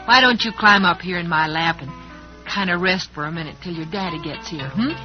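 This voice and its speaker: A woman's voice: fairly low pitch, a little fast, over loud music, natural voice. An actress playing a kindly, motherly ranch woman with a folksy, soothing manner in a 1940s radio western. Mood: tender. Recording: muffled old radio recording.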